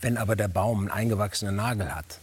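Low husky man explaining earnestly. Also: fast talker. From Germany. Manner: earnest, explaining at length with hesitations. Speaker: guest actor. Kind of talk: casual interview answers.

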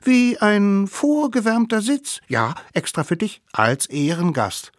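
A man voicing a group of cheeky, scheming little cave kids, in a high, sly and wheedling voice. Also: wildly swooping pitch, slow, dry recording, clean studio sound, heavily acted.

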